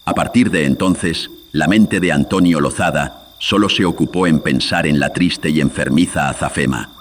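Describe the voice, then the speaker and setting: A man's voice: very deep voice, normal speed, slight background noise. A man narrating a horror radio drama in a solemn, theatrical way, with a processed voice. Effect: voice processed with audio filters.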